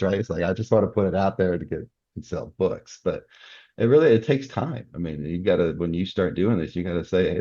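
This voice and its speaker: Very deep, husky man, muffled audio. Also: a little mellow, dry recording. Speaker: guest author. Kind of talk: relaxed interview conversation about writing.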